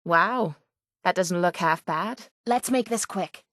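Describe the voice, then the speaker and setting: A woman's voice: on the low side, slow, fairly bright tone, dry recording, studio quality. A woman voicing a tough, sarcastic young Irish mercenary companion in a video game, blunt, cocky and slangy. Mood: wry, casual.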